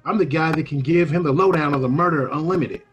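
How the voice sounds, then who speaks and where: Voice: medium pitch, fast, dull audio, soft background music, close to an everyday voice. An actor playing a wary, streetwise small-time male informant in a comic old-time radio play, slangy and tough-talking. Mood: pushy, indignant.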